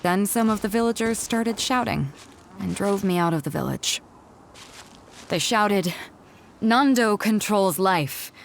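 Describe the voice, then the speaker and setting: A woman's voice: mid-range voice, unhurried, creaky, pauses often, a little hiss or hum. A woman voicing a bold, proud female ship captain in a video game, confident and teasing, with a theatrical delivery. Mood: somber, reflective. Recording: music under the voice. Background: background music.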